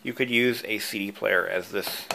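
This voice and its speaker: A man's voice: fairly low pitch, normal speed, vocal fry, noisy background. A man narrating a casual how-to video as its host, explaining things informally with asides. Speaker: video creator and host, antique radio hobbyist.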